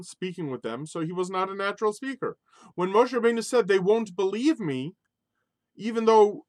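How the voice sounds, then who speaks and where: A man giving a spoken Torah lesson in an animated, emphatic way, mixing Hebrew religious terms into English. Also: high voice, no echo. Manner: animated, emphatic, rises sharply on key points. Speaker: Torah teacher.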